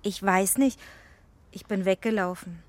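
A woman's voice: mid-range voice, unhurried, no room sound, lightly acted. A woman playing a young fairy pupil in a children's radio play, blunt, kind and full of self-doubt. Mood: dejected. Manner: self-deprecating, matter-of-fact.

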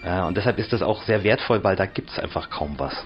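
Low male voice, casual, music behind. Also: brisk, dull audio. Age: 30s. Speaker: interviewee, radio tape collector. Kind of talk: casual spontaneous talk. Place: private house room.

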